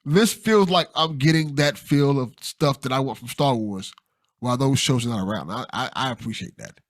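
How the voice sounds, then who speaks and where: A man's voice: mid-range voice, normal speed, dry recording, clean studio sound. A man hosting a podcast in a casual, conversational style, encouraging and supportive toward his guest. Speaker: podcast host.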